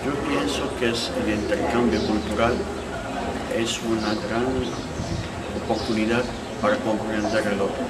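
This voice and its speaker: A man from Morocco, not a native speaker of the language, answering interview questions haltingly, searching for words with many fillers. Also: on the low side, level tone. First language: not Spanish. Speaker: faculty official interviewed. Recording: echoey room, far from mic, loud crowd noise.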